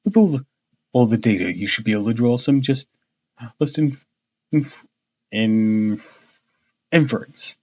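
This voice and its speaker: A man voicing a cool, analytical gentleman detective who speaks quietly and dryly, lecturing. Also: medium pitch, expressive, a little slow, pauses often, lo-fi sound.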